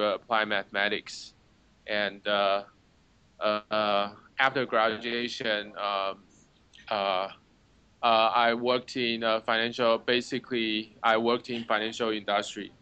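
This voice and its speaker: Bright, crisp man. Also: medium pitch, no echo. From Taiwan. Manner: halting, searching for words, self-mocking humor. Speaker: startup founder. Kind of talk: casual interview answers.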